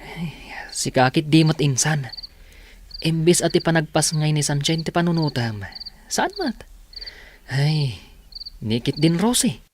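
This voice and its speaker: A man's voice: fairly high pitch, expressive, a little slow, pauses often, noisy background. A man playing a decent, earnest young villager in a radio drama, speaking with an Ilocano accent. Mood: disapproving. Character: decent, sympathetic young man, disapproving of his womanizing cousin.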